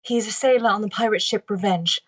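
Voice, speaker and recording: A woman's voice: mid-range voice, a little fast, lightly acted, poor audio. A woman playing a devoted, earnest young noblewoman in an amateur script table read. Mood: pleading.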